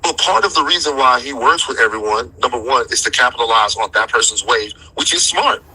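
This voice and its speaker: A man with a rough, husky voice in a casual, slangy, opinionated discussion, repeating phrases for emphasis as he comments. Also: mid-range voice, expressive, brisk, bright, forceful tone, rough recording. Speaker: commentator in a debate about rap.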